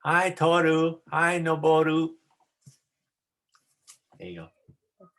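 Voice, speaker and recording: A woman's voice: low-pitched, big pitch swings, slow, fairly bright tone. A woman teacher chatting casually as she hosts an online class on a video call, encouraging and often repeating back what others say.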